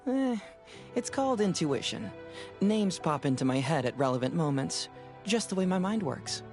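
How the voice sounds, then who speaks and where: A man's voice: a little high, sing-song, normal speed. A sly young male detective in a video game, breezy and self-assured. His teasing delivery moves freely up and down over faint music. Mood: teasing, smug.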